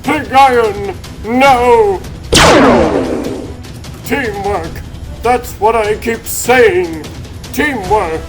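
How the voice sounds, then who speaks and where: A man's voice: high voice, extremely slow, long pauses, over music. A man voicing an earnest, declamatory rabbit superhero who leads his team in a comic dub. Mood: exasperated. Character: plucky earnest cartoon rabbit superhero leader.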